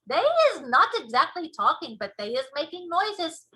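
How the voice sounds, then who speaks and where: A woman's voice: high voice, sing-song, moderate pace, sharp tone, pitched above the performer's own voice, exaggerated voice. A woman voicing a big, proud, earnest male giant from a storybook, speaking emphatically in folksy muddled grammar with whimsical invented words.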